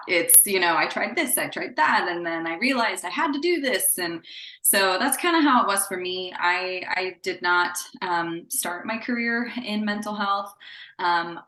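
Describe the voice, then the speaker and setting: A woman in her thirties who grew up in Indonesia talks about her work as a psychotherapist in a chatty, upbeat way. Her voice sits fairly low and carries some room echo. Fillers and light laughs run through her speech. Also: sharp tone. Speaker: psychotherapist guest. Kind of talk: casual interview talk.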